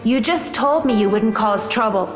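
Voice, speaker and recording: A woman's voice: fairly high pitch, expressive, moderate pace, lightly acted. A woman playing a worried, reproachful young student in a visual novel, earnest and pleading. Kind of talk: dramatic visual novel acting. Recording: long echoing reverb, music underneath.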